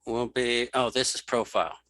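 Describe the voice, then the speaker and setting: A man giving a casual software tutorial, thinking aloud as he demonstrates each step. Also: mid-range voice, fairly even intonation, normal speed, dry recording, poor audio. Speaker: instructor.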